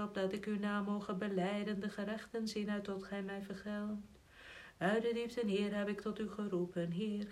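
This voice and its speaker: A woman reading psalms aloud as a liturgical reader, in a steady, solemn recitation tone. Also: medium pitch.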